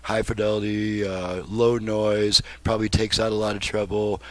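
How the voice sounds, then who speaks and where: A man's voice: fairly low pitch, flat delivery, normal speed, a bit bright, no echo. A man giving a casual hands-on demonstration as a repairman, explaining step by step in a plain-spoken way. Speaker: repairman demonstrating a restored car radio.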